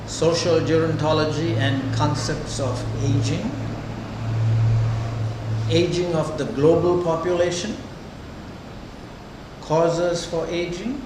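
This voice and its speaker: An older man lectures in a very reverberant room, picked up from a distance over loud background noise. He talks deliberately and conversationally, putting questions to his students. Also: fairly high pitch. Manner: deliberate, conversational, checks in often with "okay" and "huh". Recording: echoey lecture room, distant mic, noisy.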